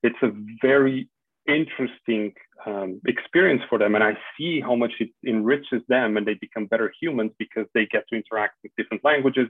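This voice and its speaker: A man's voice: medium pitch, no echo. A man around forty from Portugal, a podcast guest speaking earnestly and reflectively with frequent restarts. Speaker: podcast guest, security specialist. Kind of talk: casual interview answers. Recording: tinny phone-like line, dry.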